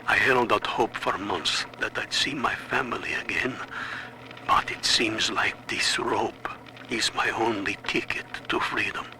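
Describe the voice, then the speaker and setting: A man's voice: fairly low pitch, unhurried, noisy background, lightly acted. A man playing an exhausted, hopeless prisoner in a video game audio log. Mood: hopeless, weary. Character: despairing, exhausted imprisoned family man.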